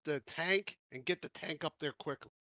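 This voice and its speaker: Bright, higher-voiced man playing a tabletop game. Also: moderate pace, no echo, lo-fi sound. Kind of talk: casual in-character game chat.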